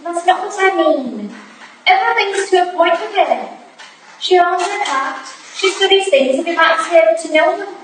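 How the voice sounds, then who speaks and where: A woman's voice: extremely high pitch, animated, moderate pace. A woman in a staged play reading, playing an earnest young Victorian detective's protégée, polite and tentative. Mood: wondering, uncertain. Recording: very echoey room, distant mic, faint noise.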